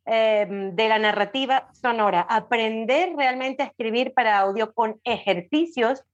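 A woman's voice: fairly high pitch, a little slow, lo-fi sound. A woman chatting casually as a podcast guest, emphatic and repeating words for stress. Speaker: podcast guest, audiobook creator and writing teacher. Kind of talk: casual chat.

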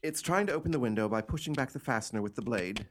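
A man's voice: mid-range voice, animated, fast talker, slight background noise, close to an everyday voice. An actor playing an imperious, irritable invalid man in a radio play, bossy and impatient with fear underneath. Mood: defiant, tense.

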